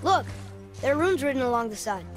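Eager high-voiced young boy. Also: fairly quick. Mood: excited. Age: child voice, young boy. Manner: excitable, earnest. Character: eager, curious young boy, son of a warrior. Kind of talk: video game character acting. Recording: game music behind voice.

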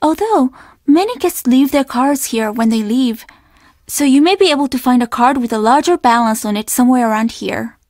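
An actress playing a stern young innkeeper's daughter in a video game, speaking in a measured, formal and easily offended way. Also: female voice, high-pitched, lively intonation, a little mellow, dry recording, clean studio sound. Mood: polite, businesslike. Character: guarded, stern young Japanese innkeeper's daughter, polite but curt. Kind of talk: video game character dialogue.

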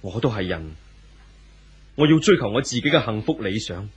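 A very husky, frank lover. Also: male voice, fairly low pitch, expressive, normal speed, lo-fi sound. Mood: troubled, frank. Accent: Hong Kong Cantonese. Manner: firm, reasoning. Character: frank, troubled lover confronting a married man. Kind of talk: 1970s Hong Kong radio drama acting.

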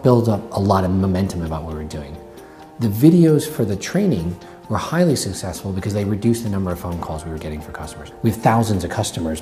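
Deep-voiced man in a corporate interview. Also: darkish tone. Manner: earnest, explanatory business talk. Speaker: bank executive interviewed. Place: indoor office with brick wall.

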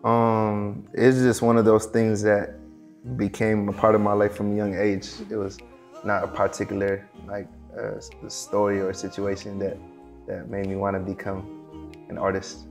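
A young man from Morocco, raised in Seattle, answering interview questions in a halting, soft-spoken way with many fillers and restarts, in a studio. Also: fairly low pitch, completely flat delivery, soft background music. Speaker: guest, performing artist. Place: dark studio set.

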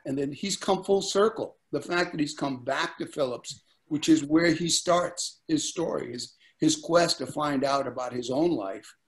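Older male co-producer from Puerto Rico, webcam, easy storyteller. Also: on the high side. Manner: storytelling, recounting with quoted dialogue. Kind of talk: casual video call discussion. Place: lamp-lit living room at home. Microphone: webcam on a video call.

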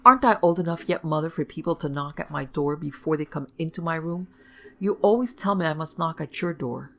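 Storybook child role, played by a woman: gentle but fretful little girl, imploring, slightly creaky voice. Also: lowish voice, moderate pace, lo-fi sound. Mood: querulous. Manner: querulous, imploring.

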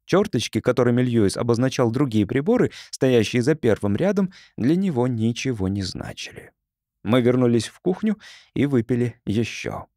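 A man's voice: mid-range voice, sing-song, normal speed, mellow, no echo, studio quality. A man reading a first-person story as an audiobook narrator in a scripted, storytelling style.

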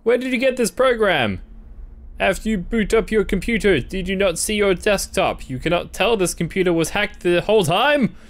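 A man's voice: high voice, lively intonation, moderate pace. A young man doing casual, playful let's-play commentary, reacting and reading game text aloud. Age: young adult. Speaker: gaming video host.

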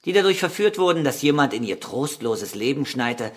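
An actor playing a cynical killer under questioning in a radio crime drama, smug, condescending and calculating. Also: male voice, medium pitch, very animated, moderate pace.

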